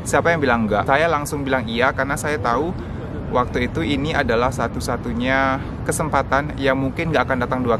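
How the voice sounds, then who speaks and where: A man's voice: medium pitch, heavy background noise. A man, casually telling his own story in a vlog. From Indonesia. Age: 20s. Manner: casual and earnest, with light English asides. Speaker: vlogger.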